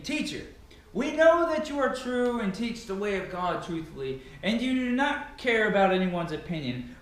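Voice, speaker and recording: A man giving a solemn, declamatory dramatic reading of scripture as an amateur reader. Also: high-pitched. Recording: very echoey, reverberant room.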